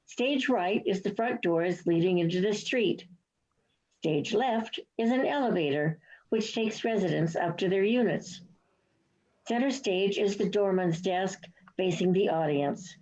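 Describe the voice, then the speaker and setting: A woman's voice: fairly low pitch, darkish tone. A woman reading scripted stage directions as the narrator of a play reading. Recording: dull, lo-fi online recording.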